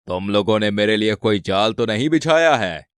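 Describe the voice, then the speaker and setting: Man as a deep, pompous lion king. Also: expressive, moderate pace, clean tone, no echo, studio quality, lightly acted. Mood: suspicious. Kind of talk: animated moral-story cartoon voice acting.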